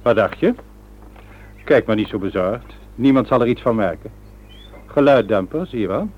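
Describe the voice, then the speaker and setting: An actor playing a ruthless upper-class villain in a Dutch radio play, commanding and coolly deliberate. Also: male voice, fairly low pitch, lively intonation, husky. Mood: cold menace. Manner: imperious, self-justifying, coolly deliberate. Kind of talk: Dutch radio drama acting. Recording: muffled old radio play recording.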